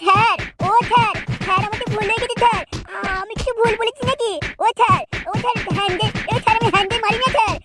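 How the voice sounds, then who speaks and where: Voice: very high-pitched, steady tone, fast talker, hardly any pauses, over loud music. A performer voicing a cheeky, boastful schoolboy in a cartoon, who whines when he is caught out. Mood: pleading. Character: cheeky loud-mouthed schoolboy. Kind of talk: cartoon voice acting.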